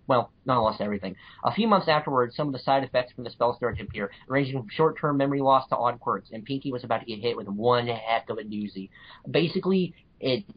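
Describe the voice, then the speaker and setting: A man's voice: mid-range voice, slightly rough. A man co-hosting a casual podcast, recommending stories in a gushing, enthusiastic way. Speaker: podcast co-host. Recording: dull, lo-fi audio.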